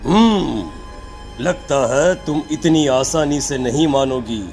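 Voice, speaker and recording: Village occultist played by a man: stern, slow, ominous, accusing, faint music underneath. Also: medium pitch, animated, a little mellow.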